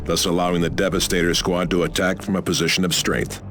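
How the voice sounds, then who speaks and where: Seasoned space marine, video game. Low pitch, dutiful, formal, steady soldierly delivery. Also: male voice, fast, faint music behind. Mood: stoic, resolute. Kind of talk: video game cutscene voice acting.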